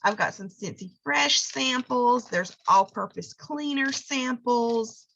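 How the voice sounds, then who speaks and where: A hoarse woman, giving a chatty how-to talk and often checking in with "okay?". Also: on the high side, slow, sharp tone, dull audio, slight background noise. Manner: chatty, lots of fillers and "okay?" check-ins. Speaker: direct sales consultant.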